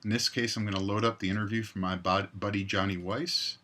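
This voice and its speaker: Male software tutorial narrator, bright crisp edge, pitched a little low, instructional step-by-step delivery. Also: fairly even intonation, fairly quick. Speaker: tutorial presenter. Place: indoors, screen recording voice-over.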